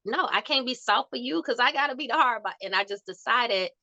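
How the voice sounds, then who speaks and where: A young woman with a soft voice telling stories in a candid podcast chat, acting out quotes as she goes. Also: on the high side, brisk, dry recording, highs cut off. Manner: animated storytelling with laughs and acted-out quotes.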